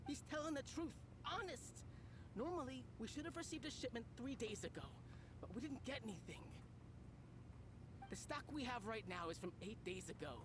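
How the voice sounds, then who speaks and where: Actor as shifty game villain, small-time dealer, gruff but cowardly, lively pitch swings, noisy ambience. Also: normal speed, close to an everyday voice. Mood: pleading. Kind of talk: video game voice acting.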